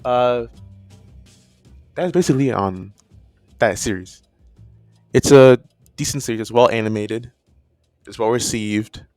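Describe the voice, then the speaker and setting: A man's voice: mid-range voice, measured pace, long pauses, darkish tone, dry recording, faint music behind. A man with a stutter giving casual, unscripted opinion commentary as a video creator, rambling and often rephrasing himself. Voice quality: stuttering speech. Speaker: video creator reviewing horror animation.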